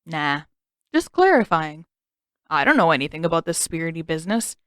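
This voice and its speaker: A woman's voice: low-pitched, sing-song, normal speed, a bit bright, clear, resonant tone, dry recording, studio quality. A woman voicing a sardonic teenage boy warrior in an audiobook, defensive and easily indignant.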